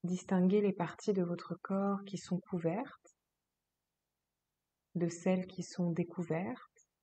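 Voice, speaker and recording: A woman leads a guided relaxation as a yoga teacher. Her voice is slightly low, very mellow and soft, with a breathy, husky edge. She gives each instruction slowly and soothingly, leaving long pauses between phrases. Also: little pitch movement.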